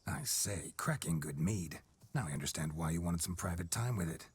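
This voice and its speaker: An actor playing a pompous, commanding nobleman in a video game, with a husky voice and a posh, haughty manner. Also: low voice, lively intonation, normal speed, exaggerated voice. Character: pompous male nobleman speaking through a witcher.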